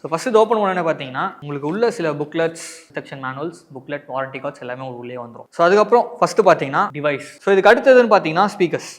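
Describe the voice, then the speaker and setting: A man's voice: on the high side, animated, very fast, few pauses. A man with an Indian Tamil accent presenting an unboxing and product review, explaining directly to viewers and mixing in English words.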